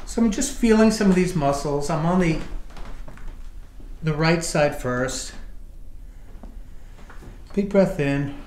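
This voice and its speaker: A man's voice: fairly high pitch, slow pace, pauses often, darkish tone. A middle-aged man talking casually as a chiropractor while he treats a client in a treatment room. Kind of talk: casual hands-on treatment talk. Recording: echoey room with faint background noise.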